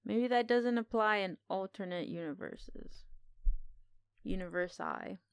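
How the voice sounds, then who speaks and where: A woman's voice: mid-range voice, unhurried, clean tone, dry recording, studio quality. A woman co-hosting a podcast, talking casually as she gives her review of a film.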